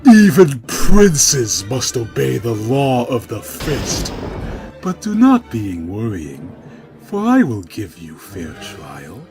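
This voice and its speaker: A brash, menacing cartoon tough-guy cat, performed by a man. He delivers stilted, pompous boasts with animated intonation over clear background music. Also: medium pitch, slow, exaggerated voice. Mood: cold authority. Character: brash, macho male cartoon cat warrior, coolly menacing. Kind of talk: comic dramatic reading for web animation.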